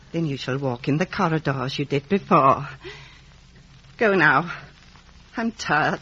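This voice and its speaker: A woman's voice: sits low, expressive, takes it slowly, pushed and bright, slightly rough, exaggerated voice. An actress playing a bitter, vengeful old lady in an old radio drama, commanding and impassioned. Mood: imperious. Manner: imperious, impassioned, repetitive commands. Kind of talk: 1950 radio drama acting. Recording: muffled vintage radio broadcast recording.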